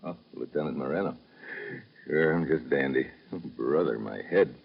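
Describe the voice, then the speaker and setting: An actor playing a tough, wry American secret agent in a 1950s radio drama, terse and slangy in delivery. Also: male voice, extremely low pitch, moderate pace, gravelly, slightly rough, heavily acted. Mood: wry, insistent. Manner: terse, hard-boiled, slangy. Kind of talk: 1950s radio drama acting and first-person narration. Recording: muffled, noisy vintage radio recording.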